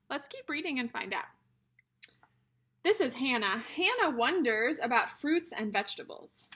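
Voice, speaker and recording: High bright lady teacher doing a read aloud for little kids, animated, asks simple questions and repeats stuff. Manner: instructional, addressing young children with simple questions and repetition. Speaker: early childhood teacher reading aloud. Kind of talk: children's read-aloud lesson.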